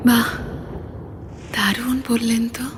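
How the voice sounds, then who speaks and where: A woman playing a poet's gentle, teasing beloved in a recited verse dialogue, with soft, coy phrasing. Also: on the high side, fairly even intonation, slow pace, a little hiss or hum. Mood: dreamy, coy. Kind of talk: dramatic Bengali poetry recitation as phone dialogue.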